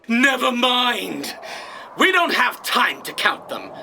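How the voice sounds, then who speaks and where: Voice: very animated, slow pace, vocal fry, bright, forceful tone, a little hiss or hum, exaggerated voice. An actor playing a pompous, exacting alien director with a thousand legs, barking sarcastic commands in a comedic sci-fi audio drama. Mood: commanding. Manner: theatrical, imperious, sarcastic, booming commands.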